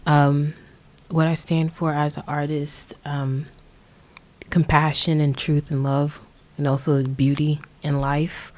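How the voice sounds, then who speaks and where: A young American woman in her twenties with a low-pitched voice speaks casually on a podcast. She is hesitant and often searches for the right word as she talks about herself. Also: little pitch movement, slow, darkish tone. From Indianapolis, USA. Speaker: podcast guest, young music artist. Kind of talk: casual conversational podcast introduction.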